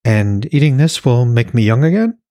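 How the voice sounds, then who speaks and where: A man's voice: mid-range voice, animated, normal speed, warm tone, no room sound, studio quality, voice pushed up, close to an everyday voice. A man voicing an anxious, questioning woman in a fantasy audiobook, wary and hesitant. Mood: troubled, doubtful.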